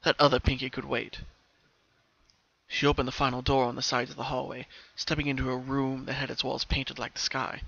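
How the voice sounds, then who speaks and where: A man's voice: mid-range voice, level tone, a little fast, vocal fry, pushed and bright, dull audio. A man reading scripted story narration in a dramatic style. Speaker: narrator of fan fiction.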